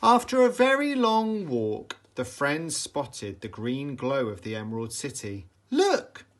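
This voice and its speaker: A man reading a children's bedtime story aloud with dramatic, deliberate emphasis for young listeners. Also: medium pitch, expressive, a bit bright. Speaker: storybook reader, teacher.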